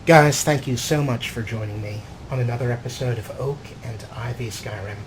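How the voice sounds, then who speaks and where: A man's voice: medium pitch, moderate pace, over loud music, rough recording. A man narrating a video game series as its host, partly reading in character. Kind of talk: scripted in-character journal narration and show commentary.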